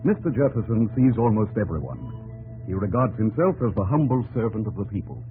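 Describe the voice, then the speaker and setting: An actor playing a dutiful young presidential secretary who narrates a 1950s radio drama in a respectful storytelling manner. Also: male voice, fairly low pitch, expressive, fairly quick, narrow, tinny audio, natural voice. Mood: narrating. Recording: old radio broadcast with music behind.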